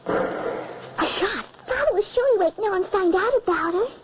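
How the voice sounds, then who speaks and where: A woman's voice: extremely high pitch, expressive, moderate pace. A woman playing a frightened, tearful little girl with a whimpering, breathless, melodramatic delivery in an old radio horror drama. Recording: muffled, very noisy old radio recording.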